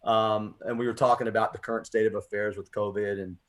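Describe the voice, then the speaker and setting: A man's voice: fairly low pitch, level tone, a little fast. An American man hosting a radio poetry program, speaking in an earnest, reflective, emphatic way, whether chatting or reading. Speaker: poetry show host. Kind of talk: conversational radio talk and spoken-word reading.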